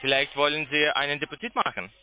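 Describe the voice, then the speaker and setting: A man with a foreign accent, not a native speaker, making a halting sales call and searching for words in broken phrasing. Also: a little high, level tone. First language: not German. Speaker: phone sales agent pitching investments. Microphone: telephone. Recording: tinny, muffled phone line.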